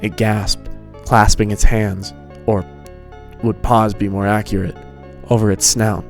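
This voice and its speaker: A man narrates a piece of fan fiction. His voice sits fairly low as he reads the scripted prose. Music plays quietly behind him. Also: little pitch movement, measured pace.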